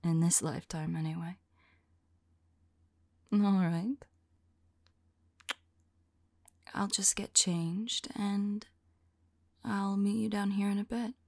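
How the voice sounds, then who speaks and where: A woman playing a tender, devoted vampire lover in an intimate ASMR roleplay, speaking softly and affectionately, often in a whisper. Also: medium pitch, flat delivery, dry recording, studio quality. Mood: fond. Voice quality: soft, breathy, often whispering. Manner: slow, wistful, affectionate.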